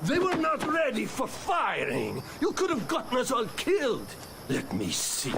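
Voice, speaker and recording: An actor playing a grumpy, proud, slovenly Italian cannon maker in a video game, with a gravelly voice and Italian accent. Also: male voice, extremely high pitch, huge pitch swings, unhurried, background noise, full character voice. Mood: exasperated. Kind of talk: video game cutscene acting.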